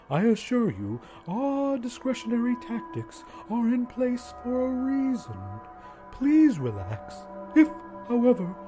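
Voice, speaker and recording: A man's voice: high voice, warm tone. A man voicing a weird, frog-like little man who recruits for a secret party, speaking formally and probingly in an audiobook story, with music behind him. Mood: formal, unfazed. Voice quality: weird, odd voice.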